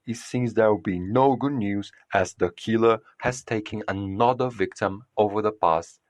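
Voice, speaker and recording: An actor playing a sombre newsreader in an audio drama, with a formal, measured delivery. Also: male voice, fairly low pitch, no echo, natural voice. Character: sombre male news announcer reporting a killing. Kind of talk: news bulletin within audio drama.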